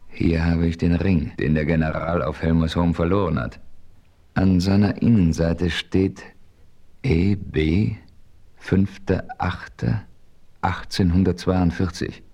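Deep-voiced radio play narrator, man. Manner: calm, measured storytelling. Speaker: narrator and hero.

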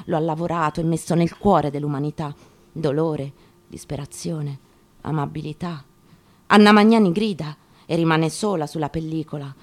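A woman giving a deliberate, declamatory dramatic reading of a first-person memoir, in short phrases with pauses. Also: low-pitched, darkish tone. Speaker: actress giving a staged reading. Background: acoustic guitar playing.

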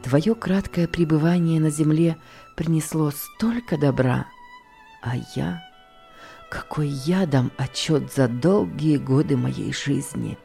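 A woman voicing a grieving gentleman father who speaks low and heavy. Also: slow pace, gravelly, soft background music, full character voice. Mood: mournful. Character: grieving gentleman father, stern yet broken.

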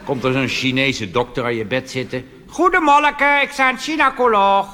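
A Dutch man doing a cabaret monologue on stage, delivering deadpan punchlines and jumping into animated put-on voices. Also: high-pitched, normal speed. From Netherlands. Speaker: cabaret comedian. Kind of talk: stand-up monologue. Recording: reverberant hall with audience noise.

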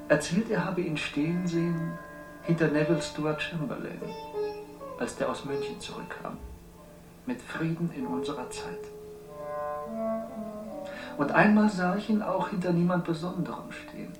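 An actor in a 1960s German radio drama playing a brooding man who recites in a measured, declamatory way. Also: a little high. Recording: echoey studio, music underneath.

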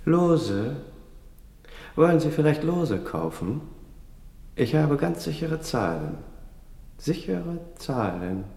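Slow, creaky ticket hawker. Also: male voice, a little high, sing-song, exaggerated voice. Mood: coaxing. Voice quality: creaky, mellow. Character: mild, shabby, persistent little hawker of lottery tickets. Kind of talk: German radio play acting.

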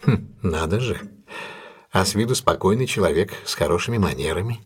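An actor playing a shrewd, ironic gentleman detective in an audio drama, with a husky, measured, commanding delivery. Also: deep voice, animated, heavily acted. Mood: intrigued. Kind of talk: Russian audio drama acting.

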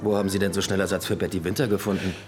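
Radio play detective, male actor: lowish voice, sardonic, probing, dryly needling, music behind. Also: flat delivery, rapid-fire, darkish tone, lightly acted. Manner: dry, needling. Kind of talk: radio drama acting.

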